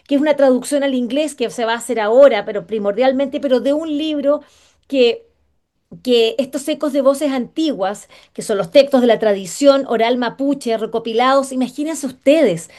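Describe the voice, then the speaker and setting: Host: high-pitched woman from Chile, halting and searching for her words. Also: dark tone. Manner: hesitant, many fillers and restarts. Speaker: radio show host. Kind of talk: conversational interview.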